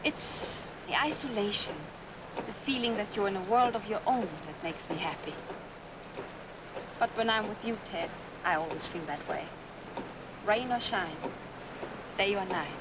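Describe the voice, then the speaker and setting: An actress playing a dreamy, devoted young bride-to-be, tender and wistful, in 1940s film style. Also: female voice, medium pitch, expressive, unhurried, long pauses, natural voice. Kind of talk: 1940s film romance acting. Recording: dull, noisy old film soundtrack.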